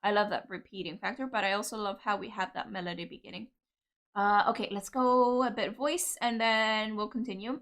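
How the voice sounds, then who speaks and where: A woman's voice: mid-range voice, steady tone, a little fast, fairly bright tone. A young woman from Cyprus, reacting with enthusiasm to songs as a video host, full of fillers and loving remarks. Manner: gushing, enthusiastic, lots of fillers. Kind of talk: casual music reaction commentary.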